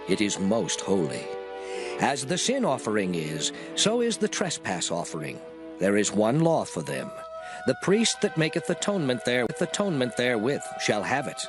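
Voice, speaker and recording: A man giving a solemn, declarative, measured dramatized reading of old scripture. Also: mid-range voice, rough recording. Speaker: scripture reader. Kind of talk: dramatized King James Bible reading, scripted. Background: music.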